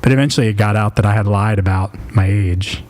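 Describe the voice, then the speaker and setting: A man's voice: on the low side, fast, darkish tone. A man in his late thirties hosting a podcast, chatting casually and telling personal stories in an informal, self-deprecating way. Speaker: podcast host.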